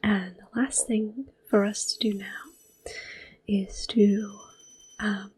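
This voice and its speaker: A woman giving gentle, hushed ASMR commentary on a video game, slipping between soft speech and whispers. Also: mid-range voice, flat delivery, slow, husky, low-quality recording. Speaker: host playing a video game. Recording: soft game music behind. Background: quiet game music.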